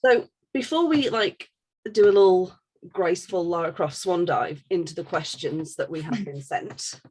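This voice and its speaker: A woman's voice: fairly low pitch, normal speed, a little mellow, slightly rough, low-quality recording. A woman co-hosting a podcast in a casual, joking and irreverent way, reading out questions. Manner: playful, irreverent. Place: bedroom.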